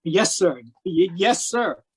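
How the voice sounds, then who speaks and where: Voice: mid-range voice, lively intonation, slow, husky, heavily acted. An actor playing a confused, deferential boy who helps in the garden, hesitant and questioning, in old-time radio drama style. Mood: weary. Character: tired, confused, deferential young male garden helper. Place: live video call performance. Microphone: video call microphone.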